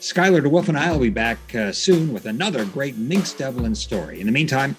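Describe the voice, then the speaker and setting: A man hosting a podcast, telling stories from notes in a conversational, somewhat halting way. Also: medium pitch, expressive, over music. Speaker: podcast host. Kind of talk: storytelling narration from notes, conversational.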